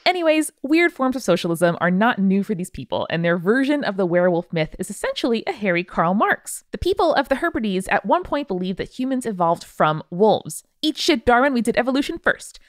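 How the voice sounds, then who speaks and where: A woman's voice: medium pitch, sing-song, fairly quick, few pauses, no room sound, clean studio sound. A woman hosting a podcast, reading out listener mail in a casual, irreverent way with wry asides. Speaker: podcast host.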